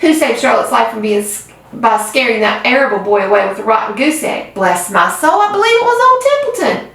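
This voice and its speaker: Woman putting on a squeaky sarcastic rat. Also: big pitch swings, brisk. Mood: sarcastic grumbling. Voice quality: whiny, mocking. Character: grumbling selfish male rat, sarcastic. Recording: very echoey room, distant mic.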